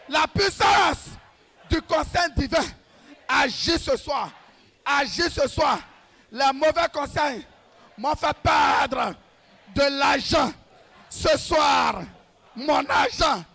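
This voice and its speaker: High, shouting gravelly male prayer leader. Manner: shouts short repeated declarations; commanding, rhythmic.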